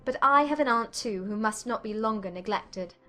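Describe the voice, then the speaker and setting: A woman playing a witty, spirited young gentlewoman in a dramatized period romance audiobook, with a playful, articulate manner. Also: fairly high pitch, normal speed, soft background music, close to an everyday voice. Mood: playful, teasing.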